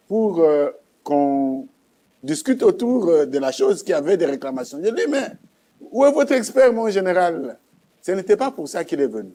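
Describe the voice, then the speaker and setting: A man with a Congolese accent shares a religious testimony in a soft, mellow tone. His voice sits a little high for a man. He tells it as a story, emphatically, restating points for effect. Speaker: guest giving testimony.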